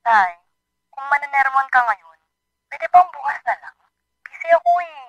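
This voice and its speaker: A woman's voice: high voice, lively intonation, normal speed, fairly bright tone, dry recording, rough recording. A woman playing a rebellious teenage daughter who talks back to her father in a radio drama. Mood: defiant. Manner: defiant, sulky, talking back.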